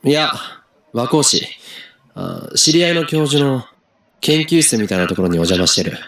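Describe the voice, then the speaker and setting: An actor playing a subdued, brooding young university student in an anime visual novel, with a husky voice. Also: male voice, mid-range voice, big pitch swings, fast talker, a bit bright. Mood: calm, softened.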